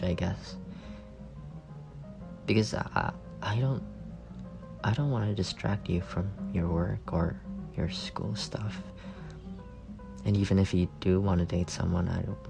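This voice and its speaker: A young man playing a shy, lovestruck roommate in an intimate ASMR roleplay, speaking tenderly and haltingly, often trailing into breathy murmurs. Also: fairly low pitch, exaggerated voice. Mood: anxious, self-doubting. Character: shy, lovestruck young roommate confessing softly to a sleeping friend.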